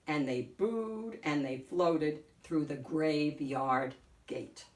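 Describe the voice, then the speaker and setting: A woman reading a picture book aloud as host, in a deliberate, theatrical storytelling way. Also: low voice, distant mic. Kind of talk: expressive picture-book narration. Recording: echoey room, off the mic.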